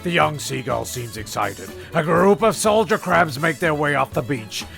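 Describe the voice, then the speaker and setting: A man's voice: fairly high pitch, lively intonation, normal speed, creaky, a bit bright, faint music behind. A man narrating a comedy radio play in a hesitant, rambling way, often repeating himself.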